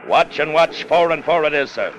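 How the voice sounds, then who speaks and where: An actor playing a hard, cunning first officer on a whaling ship in clipped, tough 1950s radio drama style. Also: male voice, a little high, expressive, normal speed, natural voice. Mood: wary, terse. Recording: muffled old radio recording with music.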